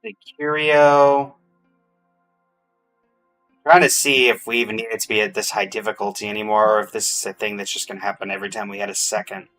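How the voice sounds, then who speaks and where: A man's voice: lowish voice, steady tone, brisk, fairly bright tone, faint music behind, rough recording. A man giving casual gameplay commentary as a streamer, thinking aloud as he goes. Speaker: game streamer.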